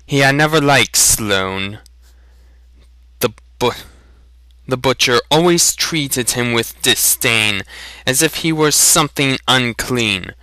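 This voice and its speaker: A man narrates a story with deliberate, expressive delivery. His voice is bright and smooth at an average male pitch, and he takes his time over the phrases. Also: dry recording. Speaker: reader of a fan dramatic reading.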